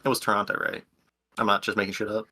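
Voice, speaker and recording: A man's voice: on the low side, level tone, quick pace, pushed and bright, slightly rough. A man co-hosting a casual podcast, joking and teasing the others. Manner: joking, teasing, mock voices. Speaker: podcast co-host. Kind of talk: casual chat. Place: indoors, home.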